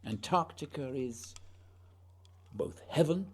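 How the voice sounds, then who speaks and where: An actor playing an earnest, haunted polar explorer in a radio play, speaking lyrically and deliberately in a husky voice. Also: male voice, fairly high pitch, expressive, slight background noise. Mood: rapt, lyrical. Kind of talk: poetic radio drama acting.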